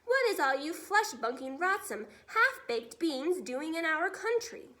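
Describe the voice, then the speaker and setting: A woman's voice: extremely high pitch, big pitch swings, measured pace, sharp tone, voice pushed up, heavily acted. Storybook villain: brutish giant , played by a woman, bellowing, garbled grammar, big swooping delivery. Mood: furious. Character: huge brutish man-eating giant, bellowing and suspicious.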